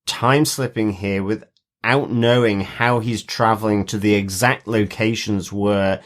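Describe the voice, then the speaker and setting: Low-pitched man chatting about television. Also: steady tone, measured pace. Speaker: podcast co-host. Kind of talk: casual TV recap discussion.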